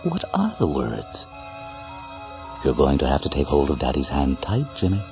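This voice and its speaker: Extremely deep ominous storyteller. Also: male voice, wildly swooping pitch, normal speed, creaky, over music, heavily acted. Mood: musing, ominous. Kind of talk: radio drama monologue.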